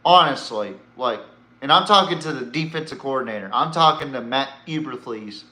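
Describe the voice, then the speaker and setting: A man's voice: mid-range voice, expressive, moderate pace, pushed and bright, roomy sound. A man hosting a sports podcast, giving casual, opinionated commentary in a blunt way, swearing and throwing out rhetorical questions.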